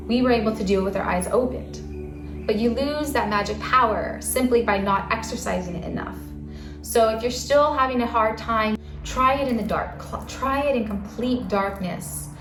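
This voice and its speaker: A soft-spoken woman giving a casual spiritual talk to camera in a calm, earnest way. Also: medium pitch. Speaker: spiritual channel host. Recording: roomy echo, faint music.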